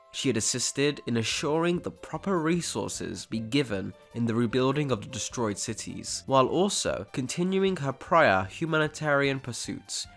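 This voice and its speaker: A man's voice: mid-range voice, a bit bright. A man narrating a scripted dramatic story as its storyteller. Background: background music.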